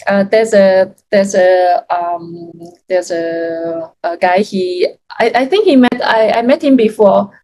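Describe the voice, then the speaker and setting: A young woman from Yunnan, China, a native Chinese speaker talking in English as an interview guest, halting and full of restarts, with frequent laughs. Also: medium pitch, darkish tone, a little hiss or hum. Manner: hesitant, many restarts and fillers, laughs often. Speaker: guest, freelance Chinese teacher. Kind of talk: casual interview chat.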